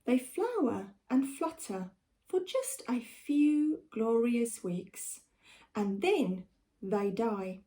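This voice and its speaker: Slow, expressive South African woman storyteller. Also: fairly high pitch. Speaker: primary school teacher. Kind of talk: storybook read-aloud for children.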